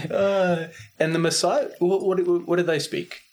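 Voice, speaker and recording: A man's voice: high-pitched, fairly even intonation, slow pace, a little mellow. Curious man asking questions. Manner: curious, asks many questions, laughs easily. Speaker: host. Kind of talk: casual conversational interview.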